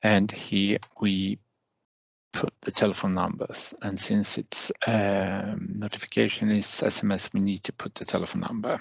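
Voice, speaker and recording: A man narrating a step-by-step software tutorial as a trainer, with halting, deliberate phrasing and hesitant pauses. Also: on the low side, little pitch movement, vocal fry, husky, no room sound, rough recording.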